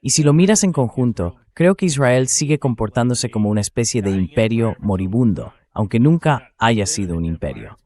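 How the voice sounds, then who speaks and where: A man's voice: mid-range voice, expressive, normal speed, hardly any pauses, darkish tone. A synthetic male voice reading a translated political commentary as a voice-over. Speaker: interview guest analyst, dubbed translation.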